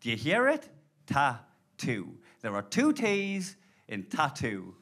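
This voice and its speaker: A man in his thirties from Blyth with a Geordie accent, doing an animated, sweary stand-up set live on stage. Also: medium pitch, takes it slowly, vocal fry, heavy background noise. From Blyth, northeast England. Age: 30s. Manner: animated, swearing, laughing storyteller. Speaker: stand-up comedian. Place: live comedy club. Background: audience laughter.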